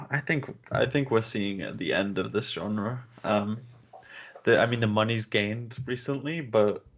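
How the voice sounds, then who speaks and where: A young man co-hosting a podcast, chatting casually in a blunt, sardonic way. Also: fairly low pitch. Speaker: podcast co-host. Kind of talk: casual chat. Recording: dull lo-fi sound.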